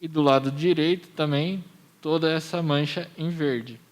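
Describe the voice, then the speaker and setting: A Brazilian man giving a measured, explanatory presentation at a public hearing. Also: fairly high pitch, steady tone. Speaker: municipal planning presenter.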